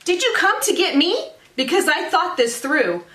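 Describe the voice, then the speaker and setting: A woman's voice: extremely high pitch, sing-song, normal speed, a bit bright, slight background noise, voice pushed up, exaggerated voice. A woman voicing an eager little storybook boy who is obsessed with becoming a zombie. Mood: excited. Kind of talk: storybook read-aloud. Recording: roomy echo, distant microphone.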